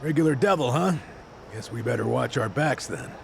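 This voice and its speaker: Gravelly, wry gangster ally. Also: male voice, medium pitch, lively intonation, normal speed, background noise. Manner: wry, folksy, teasing. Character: wry, seasoned gangster ally in an action game. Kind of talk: video game voice acting.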